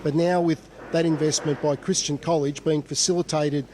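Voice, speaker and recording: A man's voice: fairly high pitch, fairly even intonation, normal speed, darkish tone, a little hiss or hum. A man making a formal announcement in an interview, official-sounding but hesitant. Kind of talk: formal on-camera interview statement.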